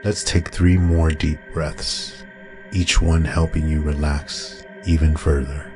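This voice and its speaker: A man narrating a guided meditation in a calm, soothing, unhurried way, as a guide would. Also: very deep voice. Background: meditation music with singing bowl tones.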